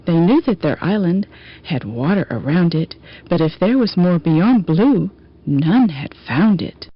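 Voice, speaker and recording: A woman narrating a rhyming children's picture book in an expressive storytelling manner. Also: on the low side, measured pace, breathy edge. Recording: dull, lo-fi audio.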